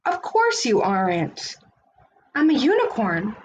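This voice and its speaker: A woman voicing kindly, accepting narwhal friends from a picture book, in an emphatic, drawn-out delivery. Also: on the high side, wildly swooping pitch, echoey room, a little hiss or hum, exaggerated voice, rough recording. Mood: matter-of-fact.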